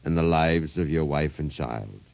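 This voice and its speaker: An actor in a 1950s radio drama playing a suave, sinister blackmailer who speaks with courteous, deliberate menace. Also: male voice, very deep voice, animated, vocal fry, a little mellow, no room sound, full character voice. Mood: smooth menace. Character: suave sinister doll-shop owner, a blackmailing self-proclaimed genius.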